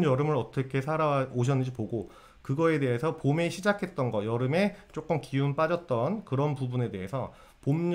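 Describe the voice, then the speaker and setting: A man giving a casual lecture to viewers on fortune-telling. He keeps asking his audience questions and answering them himself. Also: fairly high pitch.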